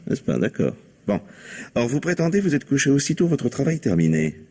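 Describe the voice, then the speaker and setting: An actor playing a sly, insistent police commissioner in a French radio mystery, probing with irony and pointedly repeating answers. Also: male voice, medium pitch, very animated, brisk, darkish tone, husky. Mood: triumphant, pressing. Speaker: detective.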